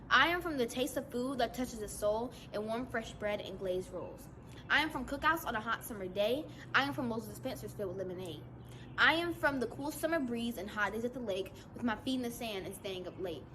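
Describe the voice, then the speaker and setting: A young girl student reading her own poem aloud, careful, with small stumbles. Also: a little high. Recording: faint background noise.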